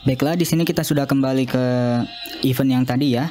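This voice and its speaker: A young man narrating an informal software demo as a student, speaking haltingly and repeating himself. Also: mid-range voice, fairly even intonation, over music. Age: young adult. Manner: halting, repetitive. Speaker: student demonstrating own app.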